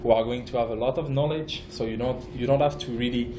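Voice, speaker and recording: A man's voice: medium pitch, fairly even intonation. French guy giving a conference talk, lots of uhs and restarts, roomy echo and background noise. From France. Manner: halting, frequent fillers and restarts. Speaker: project manager, conference speaker. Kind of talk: conference presentation. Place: conference room.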